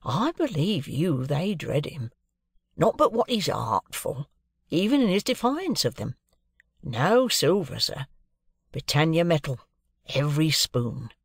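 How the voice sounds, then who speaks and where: Woman putting on a higher-pitched, chirpy clerk man. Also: big pitch swings, measured pace, breathy edge, no room sound, studio quality, heavily acted. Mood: confiding. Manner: emphatic, colloquial, confiding. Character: chirpy, proud middle-aged London clerk, man, shrewd and eccentric.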